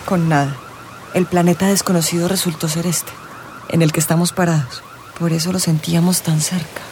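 An actor playing an eccentric, probing astrologer in a radio drama, speaking in dramatic, drawn-out pronouncements. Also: medium pitch, fairly even intonation, darkish tone, slight background noise, natural voice. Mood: ominous, explaining. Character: eccentric astrologer, theatrical, teasing, probing, solemn. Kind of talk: theatrical radio drama acting. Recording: music and vehicle sounds behind. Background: music, real outdoor soundscapes.

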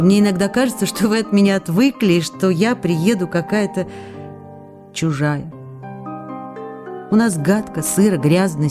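An actress reading a young actress's letters in a radio play, playful and wistful by turns, with soft music behind. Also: female voice, mid-range voice, lightly acted.

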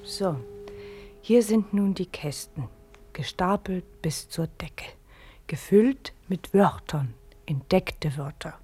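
An actress playing a dreamy, exact night watchwoman who murmurs haltingly to herself in a radio play. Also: on the low side, lively intonation, warm tone, slightly rough, faint music behind, full character voice. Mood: musing. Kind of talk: German radio play acting.

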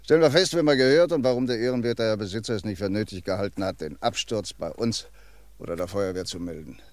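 A man's voice: on the low side, no echo, lightly acted. A man playing a sardonic, weary police commissioner in a 1950s radio play. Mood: dry. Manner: dry, sardonic, unhurried.

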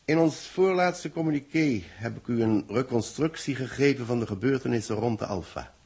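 A man in a radio drama playing a grave, weary middle-aged official who speaks in solemn, measured announcements. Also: medium pitch, expressive, darkish tone, husky. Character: grave, weary male official announcing tragedy to a doomed world. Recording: vintage 1960s radio drama recording.

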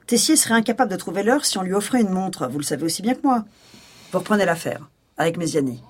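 A woman's voice: on the low side, animated, fast talker, slightly rough. An actress playing a stern, no-nonsense female police chief in an audio drama, curt and commanding, with a dry, cutting manner. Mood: stern, impatient. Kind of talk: naturalistic radio drama acting.

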